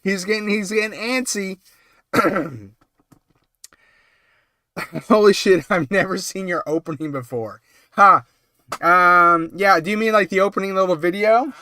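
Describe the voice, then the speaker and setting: A man's voice: high-pitched, a little slow, pauses often, bright, forceful tone, dry recording. A man hosting a casual late-night live stream while he has a cold. He rambles and chats, with lots of ums and small laughs. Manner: rambling, chatty, full of ums and little laughs.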